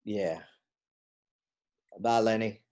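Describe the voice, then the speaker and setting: A man's voice: fairly low pitch, extremely slow, a little mellow. A man voicing a kindly, plain-spoken old friend in a stage play reading, easygoing and awkwardly polite. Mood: mild, awkward.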